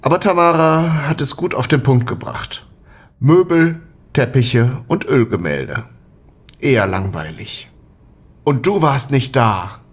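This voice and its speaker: An actor playing an affectionate, mischievous young man in a naturalistic, conversational audio drama style with a husky voice. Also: medium pitch, lively intonation, takes it slowly. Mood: relaxed, mildly bored.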